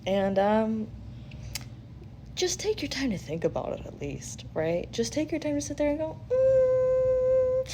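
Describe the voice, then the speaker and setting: A woman's voice: high voice, sing-song, measured pace, warm tone, a little hiss or hum. A woman host giving a casual spiritual reading in a blunt, sweary, conversational way, animatedly acting out quotes as she goes. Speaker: tarot-style spiritual reader and host. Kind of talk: casual intuitive reading, chatty advice.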